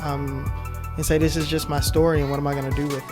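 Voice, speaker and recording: A middle-aged man telling his story as a podcast guest, emphatic and animated, with frequent "um"s. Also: fairly high pitch, fast, over music. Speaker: podcast guest, film director. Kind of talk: casual storytelling interview.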